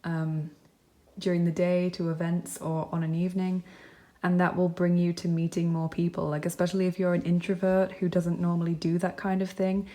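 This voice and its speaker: A woman with a lowish, mellow voice talks through a tarot and charm reading. She speaks gently and reassuringly, musing aloud with frequent ums and likes. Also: little pitch movement, normal speed. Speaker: tarot reader. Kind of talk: pick-a-card tarot reading.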